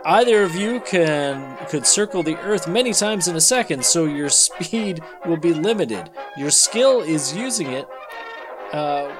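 A man with a fairly high, very lively voice narrates as a comedy host. He slips between dramatic reading and jokey casual banter, with music clearly behind him. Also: a little slow, poor audio.

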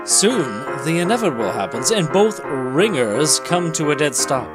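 A man with a fairly high voice hosts a comic book reading. His narration is theatrical and playful, mixed with casual chat, and music runs behind it. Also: lively intonation, normal speed. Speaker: podcast host and narrator.

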